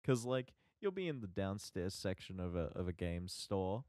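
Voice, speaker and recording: Guy on a podcast, lowish voice, super dry sound, sarcastic casual banter. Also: very animated, normal speed, a little mellow, dry recording. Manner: sarcastic, informal. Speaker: podcast co-host.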